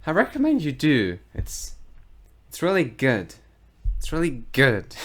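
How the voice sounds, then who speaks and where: Sardonic YouTube commentator, lively pitch. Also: male voice. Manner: dry, mocking, deadpan asides. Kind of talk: sarcastic commentary. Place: garage.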